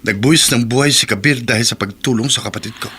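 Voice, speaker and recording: A man's voice: mid-range voice, quick pace, pushed and bright, a little hiss or hum, natural voice. An actor playing a troubled soldier son in a radio drama, earnest and respectful as he speaks of his doubts. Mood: grieving. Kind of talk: Tagalog radio drama acting.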